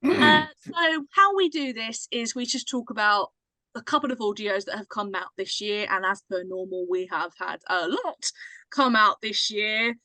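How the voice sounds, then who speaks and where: A woman's voice: mid-range voice, normal speed, bright, forceful tone, no room sound, poor audio. A woman hosting a casual group chat, introducing and steering the conversation. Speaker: host.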